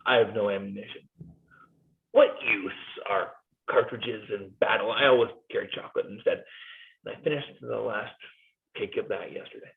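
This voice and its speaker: A man's voice: moderate pace, creaky. A man voicing a weary, jittery Swiss mercenary soldier in a cold-read play reading over a video call, wry and pleading, his voice jumping up in sudden leaps. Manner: nervous, wry and pleading, with jumpy pitch leaps. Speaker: cast reader in a play reading. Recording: tinny phone-like video call audio.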